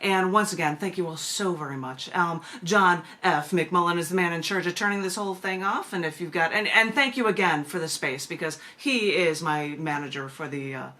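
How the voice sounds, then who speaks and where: A woman's voice: lowish voice, brisk, few pauses, bright, forceful tone. A woman hosting a poetry open mic over a video call, talking in a chatty, rambling way with effusive thanks. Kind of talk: casual hosting of a virtual poetry meeting. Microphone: video call microphone.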